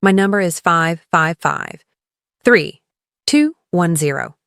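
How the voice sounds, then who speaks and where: Slow-paced woman teaching English phrases. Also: fairly low pitch, animated, vocal fry, a bit bright, dry recording, clean studio sound. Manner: encouraging coaching. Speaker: English teacher leading shadowing practice. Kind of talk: scripted language lesson, model phrases said twice for repeating.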